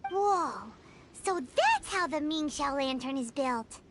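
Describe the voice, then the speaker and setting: Squeaky, very high little fairy companion. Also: female voice, lively intonation, slow pace, background noise. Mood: bubbly, curious. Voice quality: squeaky, childlike. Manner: speaks of self in third person, exclamatory. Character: small childlike female fairy companion, bubbly and curious. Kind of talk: video game voice acting. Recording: music behind the voice.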